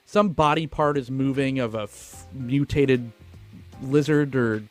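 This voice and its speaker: A man's voice: medium pitch, measured pace, soft background music. A man co-hosting a podcast, chatting casually and swearing freely as he talks about films. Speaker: film podcast co-host. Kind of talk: casual film discussion, profane.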